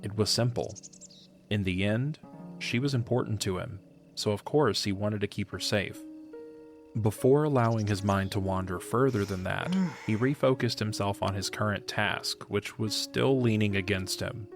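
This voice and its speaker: A man with a fairly low voice reads scripted story narration for an audio play. Music plays softly underneath him. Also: moderate pace.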